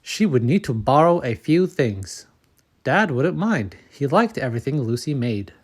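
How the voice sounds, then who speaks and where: A man narrating a children's storybook as host, in a measured, expressive read-aloud manner. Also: medium pitch. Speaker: storybook reader and channel host.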